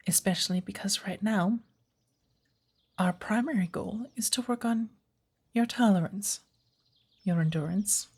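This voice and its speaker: Girl doing a patient forest witch teacher, soft husky voice, slow careful formal wording, asmr roleplay. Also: medium pitch. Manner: measured, deliberate, formal, often hushed and breathy. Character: patient witch mentor of the woods, gently teaching magic.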